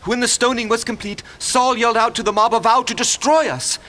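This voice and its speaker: A high-voiced, distraught believer. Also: male voice, fairly even intonation, sharp tone. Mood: urgent, alarmed. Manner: earnest, halting, grave storytelling. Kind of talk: audio drama acting.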